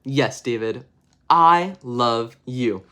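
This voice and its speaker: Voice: mid-range voice, animated, unhurried. A storyteller voicing a patient, forgiving mother comforting her son. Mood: soothing. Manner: gentle, soothing.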